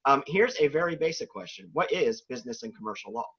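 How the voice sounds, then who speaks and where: A man's voice: mid-range voice, animated, fast, a bit bright, breathy edge. A man hosting a video call for admitted students, hesitant with many fillers. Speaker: host, admissions dean. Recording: dull lo-fi video call audio.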